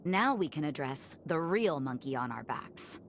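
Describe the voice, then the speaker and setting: A woman's voice: low-pitched, lively intonation, moderate pace, slight background noise. A woman voicing a defiant, sardonic noblewoman in a video game, with a blunt, commanding, barbed way of talking. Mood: briskly commanding. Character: defiant, sardonic noblewoman ruling a sunken city. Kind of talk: scripted video game cutscene acting.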